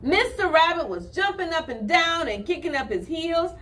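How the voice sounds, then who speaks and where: A woman hosting a children's storytime, reading and talking to young listeners in an expressive, singsong way. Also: very high voice. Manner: expressive, drawn-out, singsong delivery addressed to children. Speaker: children's storytime reader and host. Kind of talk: storytime read-aloud and singing for young children.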